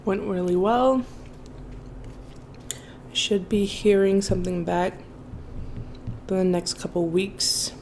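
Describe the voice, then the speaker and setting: A woman's voice: medium pitch, slow pace, creaky, pauses often, darkish tone, a little hiss or hum. A woman in her early thirties chatting casually and expressively as a mukbang vlogger, with informal asides. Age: early 30s. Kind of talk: casual chatty storytime while eating.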